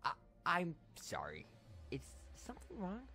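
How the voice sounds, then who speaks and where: A man's voice: fairly high pitch, huge pitch swings, a little slow, gravelly, sharp tone, faint music behind, exaggerated voice. A young man voicing an eager, awkward cartoon unicorn squire with an earnest, polite manner. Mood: awkward, excited. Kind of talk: fan cartoon voice acting.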